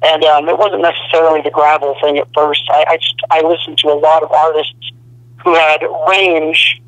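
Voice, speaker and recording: A young man, a rock band's singer, talking on a phone interview in a relaxed, rambling way full of "you know.". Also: on the high side, moderate pace, dry recording. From Arizona. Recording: dry telephone line.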